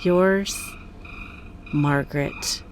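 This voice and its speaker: A lowish-voiced, lovelorn wife played by an actress in a noir radio play. She speaks with tender, formal longing, as if voicing a secret love letter, over faint noise. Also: very slow. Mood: yearning. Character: yearning married woman reading her secret love letter. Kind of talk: romantic voice-over in noir audio drama.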